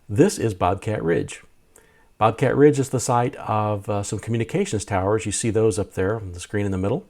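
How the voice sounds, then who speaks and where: A man with a somewhat low voice narrates a casual hobby vlog. He explains things methodically in plain, everyday language, as a host talking to viewers. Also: a little mellow. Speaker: amateur radio video host.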